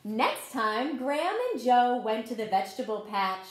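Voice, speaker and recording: A woman teacher reading a picture book aloud to young children, expressive and encouraging, often turning to the listeners with questions. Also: a little high, moderate pace. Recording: roomy, echoing room sound.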